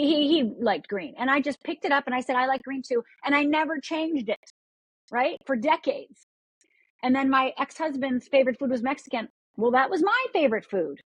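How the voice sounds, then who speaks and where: Woman from upper michigan, fairly high husky voice, lots of restarts and repeats, dry sound. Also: no room sound, rough recording. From Upper Michigan, USA. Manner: energetic, repeats words, restarts sentences. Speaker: guest. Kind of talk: podcast interview.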